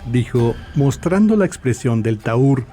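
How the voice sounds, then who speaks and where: A man with a mid-range voice narrates a dramatized tale in a scripted storytelling style. Music plays clearly behind his voice. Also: medium pitch, animated, measured pace, dark tone. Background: background music.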